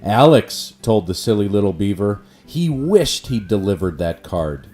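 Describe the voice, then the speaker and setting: Male storyteller, children's rhyming narration, warm mellow tone, animated intonation, measured delivery, light music behind. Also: on the low side.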